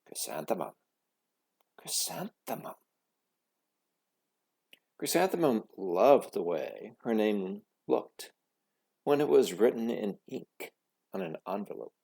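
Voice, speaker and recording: A man reading a children's story expressively, with a dramatic, deliberate delivery full of pauses. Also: fairly low pitch, mellow, husky. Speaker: storybook reader.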